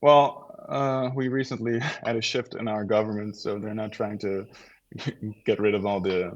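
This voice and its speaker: A man's voice: on the low side, steady tone, unhurried, highs cut off. A man in his thirties from the Netherlands, a native Dutch speaker with a near-American accent, chatting informally as an interview guest and thinking aloud. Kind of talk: casual interview chat.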